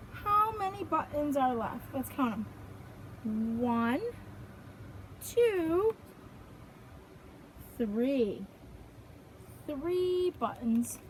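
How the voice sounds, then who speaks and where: High-voiced woman reading slowly to young children. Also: a little hiss or hum, poor audio. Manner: sing-song, expressive, simple phrases for small children. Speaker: preschool teacher reading to children. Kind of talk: children's picture book read-aloud with counting questions.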